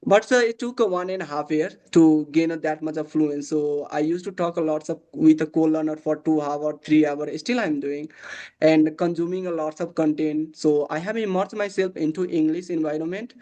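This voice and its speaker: A man's voice: fairly high pitch, steady tone, normal speed, mellow. A young man with a Nepali accent, a native Bhojpuri speaker, chatting over a video call in hesitant English full of fillers and restarts. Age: young adult. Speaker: guest, English learner. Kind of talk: casual conversation practice over video call.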